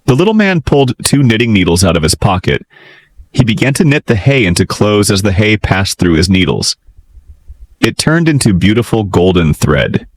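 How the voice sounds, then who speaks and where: A male storyteller reads a fairytale with theatrical expression. His voice sits a little low but moves in lively swings, and the recording is close and dry. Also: normal speed, no room sound, poor audio. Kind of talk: dramatic children's fairytale narration.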